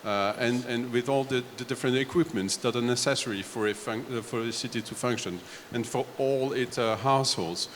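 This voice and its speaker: A man from Belgium on a discussion panel, laying out his points one step at a time in a halting way. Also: mid-range voice. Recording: echoey hall, faint background noise.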